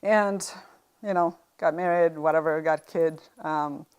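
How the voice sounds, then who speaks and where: A middle-aged woman from Uganda with an East African accent, recounting personal experience conversationally as an interview guest, with halting pauses. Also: low voice, steady tone, a little mellow, clean tone, highs cut off.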